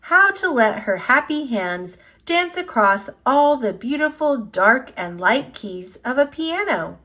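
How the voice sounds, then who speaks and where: Slow, very animated woman reading a storybook. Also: high-pitched. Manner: expressive, deliberate storybook delivery. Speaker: storyteller reading a picture book aloud. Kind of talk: scripted narration, children's read-aloud.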